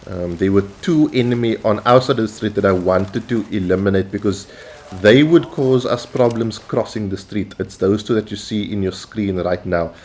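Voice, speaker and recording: A South African man hosting his gaming channel, calmly explaining tactics over gameplay with frequent pauses. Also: lowish voice, slight background noise. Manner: measured, instructional, with frequent "um" and "uh" pauses. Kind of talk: gameplay commentary.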